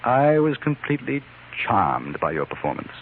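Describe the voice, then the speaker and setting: A man playing a polite, measured, wry gentleman newspaper reporter in a 1950s radio western. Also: medium pitch, sing-song, slight background noise. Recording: narrow-band old radio, music behind.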